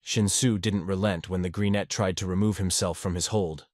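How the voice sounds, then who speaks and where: Brief: male podfic narrator, low voice, steady measured read, dry close sound. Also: dry recording. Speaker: audiobook narrator. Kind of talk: fanfiction audiobook narration.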